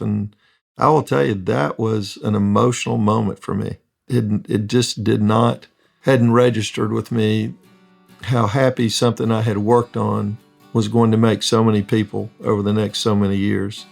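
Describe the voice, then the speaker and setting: Man, project manager, gravelly, monotone, low voice, earnest. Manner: earnest, reflective storytelling. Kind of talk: reflective interview.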